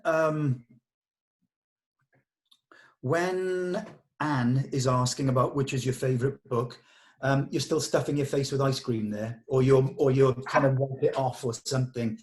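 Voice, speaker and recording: A man leads a relaxed script discussion at a table read. He explains things conversationally and hands out direction in an ordinary mid-pitched male voice. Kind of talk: casual script discussion with actors.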